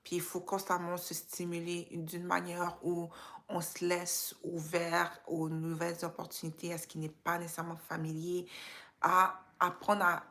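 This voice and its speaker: A woman's voice: lowish voice, monotone, moderate pace, sharp tone. A woman with a Quebec French accent touched by Creole and English, chatting informally and motivationally as a live stream host, dropping in English slang. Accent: Quebec French with some Creole and English influence. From Quebec, Canada. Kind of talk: casual motivational live chat.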